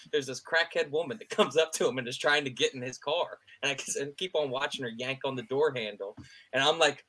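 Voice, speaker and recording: A man co-hosting a sports podcast, chatting casually, excitable and quick to laugh, often repeating himself. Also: mid-range voice. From Philadelphia area. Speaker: podcast co-host.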